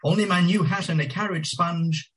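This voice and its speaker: A man's voice: sits high, steady tone, moderate pace, a bit bright, recorded from a distance, voice pushed up. A man voicing a sensual, lazy wife calling from her bath in a teasing, languid way. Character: shrill sensual woman, lazy bathing wife.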